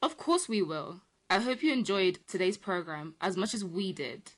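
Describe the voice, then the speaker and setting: A woman's voice: medium pitch, sing-song, moderate pace. A woman co-hosting a children's program, talking in a playful, singsong way.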